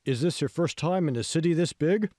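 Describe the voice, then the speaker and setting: A man's voice: a little high, fairly quick, warm tone, no room sound, clean studio sound, pitched above the performer's own voice, close to an everyday voice. A man voicing a self-important, patronising state official in an audiobook, pompous and ingratiating. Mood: puffed-up.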